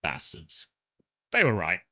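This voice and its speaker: Bright-voiced young Englishman chatting casually. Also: low voice, slow. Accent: southern English. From England (Kent). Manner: rambling, relaxed, many fillers and restarts. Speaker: streamer. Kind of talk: casual chat with stream viewers.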